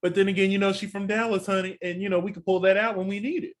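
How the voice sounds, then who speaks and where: Texan male co-host, sassy recap chat, pitch a touch high. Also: fairly even intonation, fast talker. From Texas. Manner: chatty, sassy asides like "honey". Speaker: podcast co-host.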